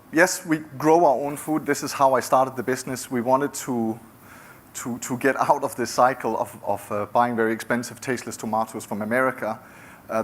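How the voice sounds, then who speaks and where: A middle-aged man from Denmark with a Danish accent, giving a conversational founder's talk with frequent restarts. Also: mid-range voice. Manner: conversational, halting with many restarts. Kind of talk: conference talk.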